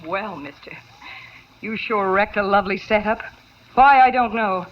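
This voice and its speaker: An actress playing a scheming, hard-boiled two-timing moll, sharp-tongued and melodramatic, in 1950s radio drama style. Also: female voice, mid-range voice, a little slow, lightly acted. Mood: hard, mocking. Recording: muffled, noisy vintage radio recording.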